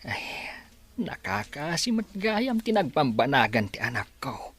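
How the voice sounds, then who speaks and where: A man acting a remorseful older father with a husky voice in an Ilocano audio drama. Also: medium pitch, sing-song, a little slow, a bit bright, full character voice.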